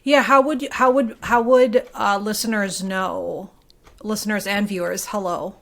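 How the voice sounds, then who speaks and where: An American woman with a mid-pitched voice, talking in an informal, conversational way. She sounds like a journalist guest chatting on a local talk show. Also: steady tone, unhurried, gravelly. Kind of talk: casual chat.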